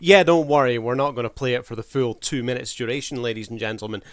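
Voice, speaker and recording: A man's voice: mid-range voice, quick pace, soft background music. A man giving casual review commentary as a video creator, in a wry, mock-formal showman's manner.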